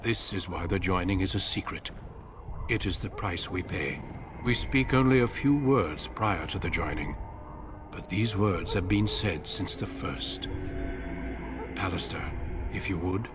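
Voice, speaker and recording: An actor playing a grave, resolute commander of an ancient warrior order in a fantasy video game, speaking in a solemn, measured, formal manner. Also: male voice, sits low, music in the background.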